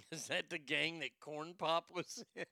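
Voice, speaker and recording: Sarcastic, swearing man with a bright voice. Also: on the high side, unhurried, vocal fry, no echo, studio quality. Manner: profane, sarcastic, laughing often. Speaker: commentary host. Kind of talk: comedic news commentary.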